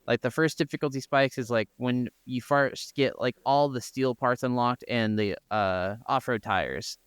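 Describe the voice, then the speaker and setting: A man's voice: medium pitch, unhurried, clear, resonant tone, dry recording. An American man co-hosting a podcast, chatting casually about games in an informal, rambling way. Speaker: podcast co-host. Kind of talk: casual gaming chat.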